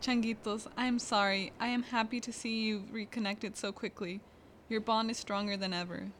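A captive mother played by a woman in a fiction podcast, loving and apologetic. The voice is on the high side and tender, with slight background noise. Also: steady tone, moderate pace, fairly bright tone, natural voice. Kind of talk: audio drama acting. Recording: recording with faint background noise.

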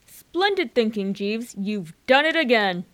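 Woman as an effusive, swooping young gentleman fop. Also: fairly high pitch, big pitch swings, unhurried, no echo. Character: effusive, foppish young upper-class gentleman. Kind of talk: scripted radio drama acting.

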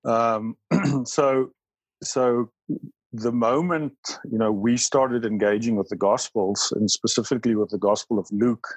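A man's voice: medium pitch, steady tone, a little slow, slightly rough, no room sound, poor audio. A South African man whose first language is Afrikaans, speaking as a church leader in an interview, thoughtful, talking in bursts with many fillers and restarts. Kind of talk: interview conversation.

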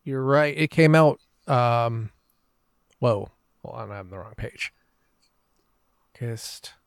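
A man's voice: medium pitch, slow pace, gravelly, clean tone, dry recording. A man chatting casually as a podcast guest, telling personal anecdotes.

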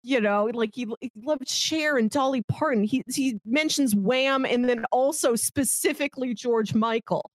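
A woman's voice: on the high side, moderate pace, hardly any pauses, no room sound. A woman co-hosting a podcast, talking casually and chattily about films, often laughing as she talks. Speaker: podcast co-host. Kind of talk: casual film discussion.

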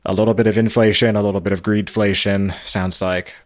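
A man hosting a podcast, giving casual recap commentary in short, halting phrases. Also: fairly low pitch, level tone, no echo, studio quality. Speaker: podcast host.

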